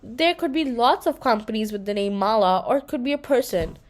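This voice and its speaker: A woman's voice: a little high, expressive, brisk, slight background noise, lightly acted. A woman voicing a confident, bossy eight-year-old girl in a children's storybook, with an insistent, matter-of-fact manner. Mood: defensive. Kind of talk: children's storybook read-aloud.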